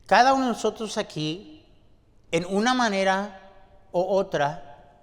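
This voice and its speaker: A man preaching a sermon to his congregation, deliberate and asking them rhetorical questions. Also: high-pitched, lively intonation. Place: church sanctuary. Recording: long church-hall reverb.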